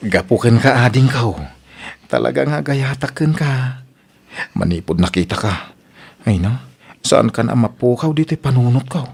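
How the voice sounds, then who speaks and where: A man's voice: medium pitch, normal speed, creaky, long pauses, breathy edge. A man with an Ilocano Filipino accent playing an eager, lovestruck young suitor in a radio comedy drama. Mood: earnest wooing. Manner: excitable, exclaiming, pleading.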